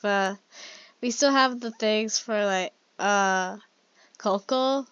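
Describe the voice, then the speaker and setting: A woman giving casual live gameplay commentary in an excitable, slangy, rambling way. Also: medium pitch, slow pace, clean tone, dry recording, highs cut off. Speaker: game streamer. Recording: game music behind voice. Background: game music.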